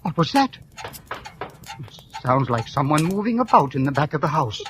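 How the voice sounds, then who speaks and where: A courteous old gentleman. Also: a little high, big pitch swings, moderate pace. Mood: uneasy. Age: older. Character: polite, mild old gentleman lodger. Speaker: elderly lodger. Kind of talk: 1940s radio acting. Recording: hissy old radio broadcast.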